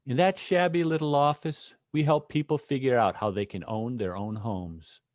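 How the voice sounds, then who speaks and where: A man playing a tired, gentle, principled father in a radio play, measured and wistful. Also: medium pitch, steady tone, no room sound, clean studio sound, close to an everyday voice. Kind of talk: old-time radio play acting.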